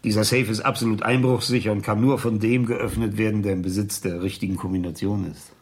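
Casting: meek, courteous middle-aged English chemist, low husky voice, wistful dreamer, vintage radio thriller. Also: male voice, steady tone, brisk, dry recording. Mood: earnest, precise. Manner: deferential, polite, wordy. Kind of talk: 1970s German radio crime drama acting.

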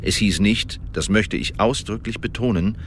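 An actor playing an Elizabethan secret agent who gives a precise, measured official report in a radio drama monologue. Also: male voice, fairly low pitch, background noise, close to an everyday voice. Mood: careful, matter-of-fact.

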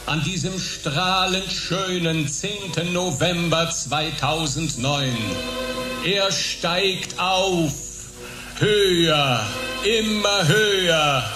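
A grandiose ceremonial orator in a radio play. His fairly high voice moves extremely slowly through heavy echo, with music behind. The delivery is solemn and florid. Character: solemn, bombastic male memorial ceremony orator. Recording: echoey announcement over music.

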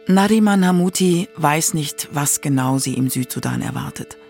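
Woman narrator with a creaky edge. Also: low-pitched, lively intonation, moderate pace, darkish tone, faint music behind. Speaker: narrator of a radio documentary feature. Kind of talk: scripted narration.

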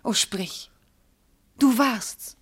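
An actress playing a devoted, anguished noble wife in a classical radio drama, declaiming formal verse with fervour. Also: female voice, on the high side, drawn-out words, husky, exaggerated voice. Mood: pleading. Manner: declamatory, fervent, formal diction. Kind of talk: classical German stage verse in a radio play.

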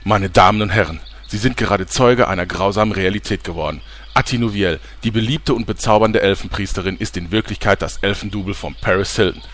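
An actor playing a pushy TV reporter with a dramatic newsreader tone in a comedy audio drama. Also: male voice, fairly low pitch, a little fast, a bit bright, a little hiss or hum, natural voice.

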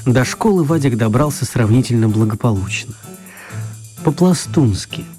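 A man narrating a children's radio play as an expressive, mock-serious storyteller. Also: mid-range voice, normal speed, faint music behind.

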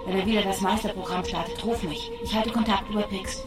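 A woman's voice: medium pitch, steady tone, fairly quick, loud music behind the voice, natural voice. An actress playing a brash, commanding female cyber agent in a radio drama, with blunt delivery. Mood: brisk, commanding. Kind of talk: German radio drama acting.

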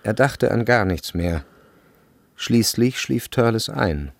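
Low, lightly gravelly male narrator. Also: expressive, takes it slowly, no room sound. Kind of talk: literary radio drama narration.

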